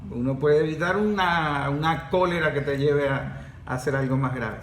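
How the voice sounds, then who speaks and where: Man with a highish voice. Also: normal speed. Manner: interjects, finishes others' sentences. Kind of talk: podcast conversation. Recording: echoey room with faint background noise.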